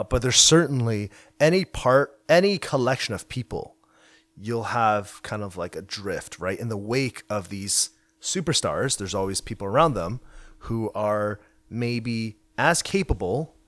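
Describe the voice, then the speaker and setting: A man's voice: on the low side, a little slow, no room sound. A young man who grew up in China, talking casually and thoughtfully about his path as a founder. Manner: relaxed, reflective, uses many fillers. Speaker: hacker house founder. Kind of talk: casual interview chat. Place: house in Waterloo.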